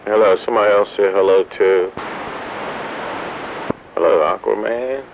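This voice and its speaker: A man's voice: fairly low pitch, fairly even intonation, normal speed. A man chatting casually as an operator on CB radio. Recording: noisy, muffled CB radio transmission.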